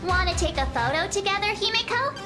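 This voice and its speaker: A woman's voice: extremely high pitch, animated, quick pace. A woman voicing a bubbly, cheeky young girl adventurer in a video game, with music playing behind her. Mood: playful.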